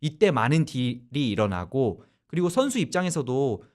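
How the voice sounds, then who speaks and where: A man hosting a radio sports talk show, explaining at length in a loose, animated conversational way. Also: mid-range voice, moderate pace.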